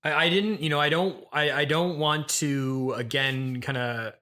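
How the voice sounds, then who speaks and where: A man's voice: on the high side, fairly even intonation, unhurried, sharp tone. A man hosting an improvised comedy interview, speaking in a tentative, apologetic, hedging way. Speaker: podcast host.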